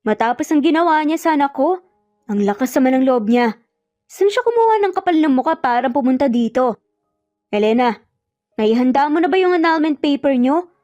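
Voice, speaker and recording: A woman's voice: sits high, expressive, brisk, pauses often, a little mellow, no echo. A woman voicing an angry, protective older father, stern and indignant, in an audio drama. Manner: indignant, blustering. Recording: muffled lo-fi audio, music bed behind.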